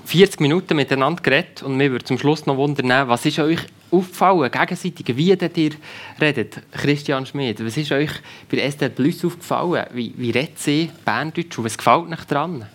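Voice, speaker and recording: A man hosting and moderating a generational talk show, asking calm, low-key questions. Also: fairly quick. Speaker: podcast host, moderator.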